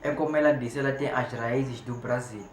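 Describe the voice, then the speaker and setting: A man's voice: mid-range voice, moderate pace, a crowd in the background. A man from Mozambique hosting a reaction video. He comments emphatically and repeats himself often, saying "gente" again and again. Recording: echoey room with background noise.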